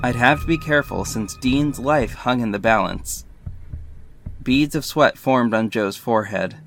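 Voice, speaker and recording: A man's voice: mid-range voice, fairly bright tone. Man acting a sassy, dramatic hero-narrator. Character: sassy, defiant male hero narrating his own story. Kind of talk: first-person audio drama narration and dialogue.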